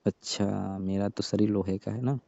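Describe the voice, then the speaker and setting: Man acting sulky young repairman, very dark soft tone, complaining, distrustful, comic call skit, dry close sound. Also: lowish voice, steady tone, normal speed, gravelly, dry recording. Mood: aggrieved. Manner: complaining, grudging. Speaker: heater repairman. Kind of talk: staged comic phone call. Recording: dull, dry lo-fi phone recording.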